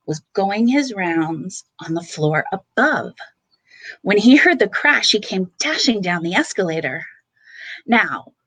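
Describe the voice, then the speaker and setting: Female children's storyteller, bedtime read-aloud: measured pace, animated intonation, dramatic pauses. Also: medium pitch. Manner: expressive, with dramatic pauses and emphasis. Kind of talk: bedtime picture-book reading for children.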